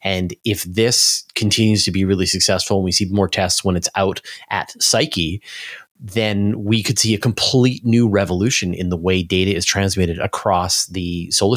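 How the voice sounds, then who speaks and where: A man's voice: sits low, fairly bright tone. A man speaking as a podcast guest, explaining space science in an enthusiastic, informal conversational way.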